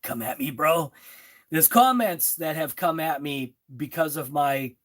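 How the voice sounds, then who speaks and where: A man's voice: on the high side, expressive, fairly bright tone. A middle-aged man with a Boston accent, casually chatting on a live stream and answering viewers' comments in a rambling, laid-back way. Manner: slow, rambling, with fillers and laughs. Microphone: phone.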